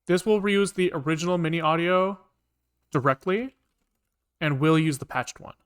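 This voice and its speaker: A man's voice: fairly high pitch, moderate pace, fairly bright tone, clear, resonant tone. A man live-streaming a programming session, talking casually to himself with informal, sweary muttering as he works things out. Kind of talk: casual thinking aloud while coding.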